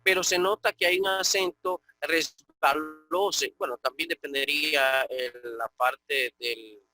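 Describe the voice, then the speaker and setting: A middle-aged man, giving an online grammar lecture as a Greek teacher. He explains step by step and often checks in with a quick "ok?". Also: a little high, steady tone, sharp tone, soft background music.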